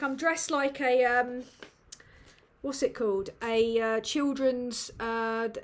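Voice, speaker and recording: Slow, sweary British woman, fairly high voice. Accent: British English. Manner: rambling and sweary, with many fillers. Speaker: livestream host. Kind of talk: casual livestream Q&A chat. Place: dim room at home, indoors.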